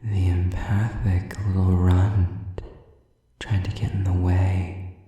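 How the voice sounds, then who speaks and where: A man playing a sadistic, predatory demon in an audio roleplay, speaking intimately and deliberately with menacing seduction, often dropping into a whisper. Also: sits low, little pitch movement, mellow, heavy reverb, recorded from a distance. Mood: sadistic relish. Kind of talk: audio roleplay addressed to the listener.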